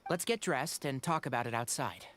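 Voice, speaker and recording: A man voicing a kind, attentive young forest ranger in a video game, gentle and considerate in delivery. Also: medium pitch, lively intonation, brisk, slight background noise, close to an everyday voice.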